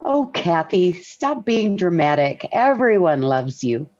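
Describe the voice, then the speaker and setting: An actress playing a calm, gently firm suburban mother in a 1940s radio sitcom. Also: fairly low pitch, slow pace. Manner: soothing, mildly scolding. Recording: dull vintage 1940s radio recording.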